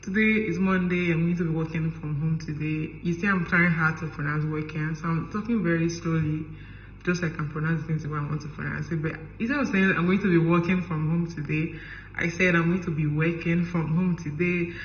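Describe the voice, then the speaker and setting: A man's voice: fairly high pitch, fairly even intonation, fairly quick, a little hiss or hum. A man with a Nigerian accent, talking casually to camera and explaining at length. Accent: Nigerian English. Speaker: vlogger. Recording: echoey, dull-sounding room.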